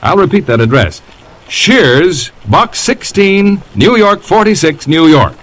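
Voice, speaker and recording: High-pitched, animated 1950s American radio announcer man. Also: slow pace, low-quality recording. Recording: vintage radio broadcast with music.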